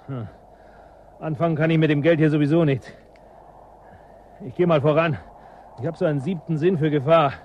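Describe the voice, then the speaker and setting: A male actor plays a gruff guide from a frozen frontier in a science fiction radio play. He is high-voiced for a man, curt and sardonic. Faint noisy ambience sits behind his words. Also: normal speed, long pauses, lo-fi sound, natural voice. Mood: gruff, matter-of-fact. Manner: curt, mocking. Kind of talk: German radio play acting.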